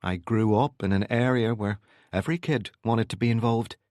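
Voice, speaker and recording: A man's voice: fairly low pitch, normal speed, darkish tone, no room sound, clean studio sound, close to an everyday voice. Man playing a guarded Northern Irish man. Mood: guarded, confessional. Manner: evasive, feigned casualness.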